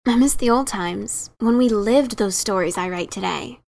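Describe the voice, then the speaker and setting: A woman's voice: mid-range voice, normal speed, creaky, darkish tone, lightly acted. A woman as a scratchy, cocky adventurer pony mare. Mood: sheepish, wistful. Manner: cocky, teasing, informal. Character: brash, teasing adventurer pony mare, famous author. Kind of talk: dramatic reading of a fan story.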